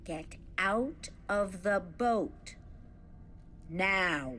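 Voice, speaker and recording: A woman's voice: mid-range voice, expressive, extremely slow, fairly bright tone, slight background noise. An actress playing a stern, commanding woman in a radio drama, giving orders in short, clipped phrases. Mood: stern, impatient. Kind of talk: radio theatre acting.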